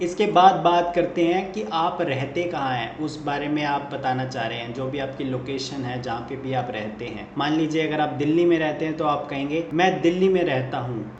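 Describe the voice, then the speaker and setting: A man with a fairly high voice teaches a beginner English lesson, explaining patiently and then saying each practice phrase slowly and distinctly. He sounds like he is in an echoey room, with a faint hum of ambient noise behind him. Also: a little mellow. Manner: explains in Hindi, says English model phrases slowly and clearly. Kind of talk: step-by-step spoken lesson.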